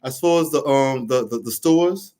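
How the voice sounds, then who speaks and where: A man's voice: medium pitch, moderate pace, warm tone. A man hosting a casual interview, jokey, who keeps repeating his affirmations. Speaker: show host and comedian.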